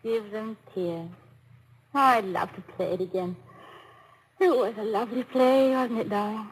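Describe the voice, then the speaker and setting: An actress playing an affectionate former London stage star, now a wife, in a 1950s radio comedy-drama, genteel and gently teasing. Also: female voice, medium pitch, a little slow, natural voice. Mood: fond, nostalgic. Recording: noisy vintage 1950s radio broadcast.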